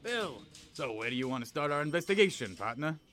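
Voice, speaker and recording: A man's voice: on the high side, big pitch swings, brisk, music in the background. A man playing an earnest, brooding police detective with a poetic streak in an audio drama. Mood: eager, friendly. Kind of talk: audio drama acting with noir-style diary narration.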